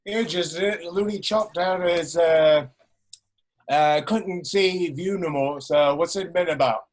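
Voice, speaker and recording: Old farmer, mumbly and slurred, slightly raised voice, read by a man at a home table read. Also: on the high side. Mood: muddled. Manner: slurred, halting rural mumble. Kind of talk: table read over video call. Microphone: video call.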